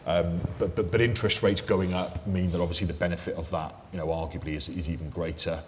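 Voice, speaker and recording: A man with a very low, deep voice speaks on a panel discussion, loose and conversational with plenty of fillers. The sound carries a long hall reverb with a faint hiss beneath it. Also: steady tone, quick pace. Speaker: mortgage lender panelist. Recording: echoey hall, faint noise.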